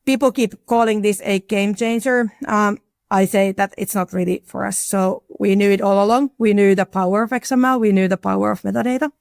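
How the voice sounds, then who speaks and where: This is a woman on a conference panel with a gravelly edge to her voice. She talks frankly and with confidence. Her phrases often restart. The sound is close and dry. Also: mid-range voice, steady tone, brisk, a little mellow, no room sound. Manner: frank, self-assured, frequent restarts.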